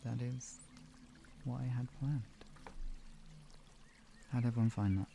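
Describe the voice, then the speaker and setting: A man streaming guided relaxation and talking to viewers in a soothing, gentle, instructional manner. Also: medium pitch, fairly even intonation, slow, creaky, slight background noise.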